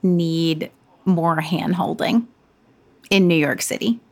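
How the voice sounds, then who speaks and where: A woman with a Southern US accent chatting as a podcast colleague, lively and laughing easily. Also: fairly low pitch, slow pace, vocal fry.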